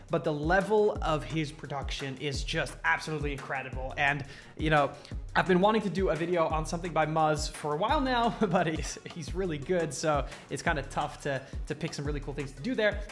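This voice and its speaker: A man hosting a music production tutorial in a casual, informal and enthusiastic way. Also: a little high.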